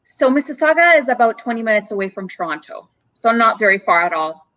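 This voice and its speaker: A woman's voice: on the high side, sing-song, fast talker, pushed and bright, no room sound, poor audio. A woman originally from Romania, a company CEO, speaking as a guest on a live-streamed interview and explaining her ideas earnestly, often listing points one after another.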